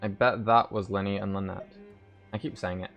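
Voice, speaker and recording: A man's voice: on the low side, steady tone, normal speed, vocal fry, fairly bright tone. A man giving casual gameplay commentary as a streamer, musing aloud in an offhand way. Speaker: game streamer. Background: game music.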